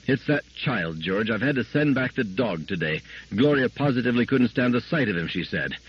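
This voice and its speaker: A man reading a story aloud, voicing a firm, brisk mother who speaks in a clipped, grim way. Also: medium pitch, lively intonation, breathy edge, lo-fi sound, pitched above the performer's own voice. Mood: stern. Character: stern, firm mother, middle-aged wife.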